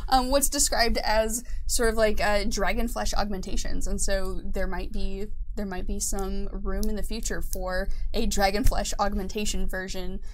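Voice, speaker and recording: A woman's voice: medium pitch, little pitch movement, normal speed, hardly any pauses, a little hiss or hum, rough recording. A woman explaining things in detail in casual, enthusiastic interview chat as a guest game designer.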